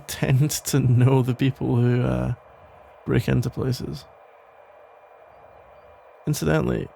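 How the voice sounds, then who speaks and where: A man playing a protective, worried police officer and ex-boyfriend in an ASMR audio roleplay, talking in a halting, casual, gently exasperated way with a gravelly voice. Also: medium pitch, slight background noise. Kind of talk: ASMR roleplay, conversational one-sided acting.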